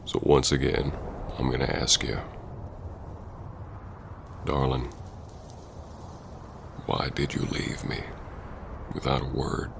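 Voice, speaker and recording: A man playing a stern, hurt Old West bounty hunter confronting an old flame in an ASMR roleplay, brooding and halting yet tender. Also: flat delivery, noisy background, full character voice. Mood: wounded, pressing. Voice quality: very deep, gravelly drawling voice. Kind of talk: ASMR roleplay acting, spoken to the listener.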